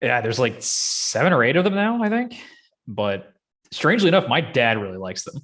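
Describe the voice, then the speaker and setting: A man's voice: medium pitch, big pitch swings, moderate pace, a bit bright. Casual, rambling man chatting about movies. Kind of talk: casual chat about films and games.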